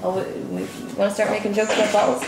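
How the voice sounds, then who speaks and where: A woman's voice: mid-range voice, level tone, fast, creaky. A woman in her twenties chatting casually and playfully as she co-hosts a livestream, with a teasing manner. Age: 20s. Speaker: co-host of a cooking livestream. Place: indoor home kitchen. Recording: echoey kitchen with music.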